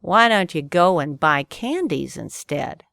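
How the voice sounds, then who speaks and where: A woman's voice: low voice, expressive, measured pace, vocal fry, a bit bright, no room sound, studio quality, full character voice. A woman voicing a sly, mischievous truant boy in a children's storybook, needling and persuasive. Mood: slyly tempting.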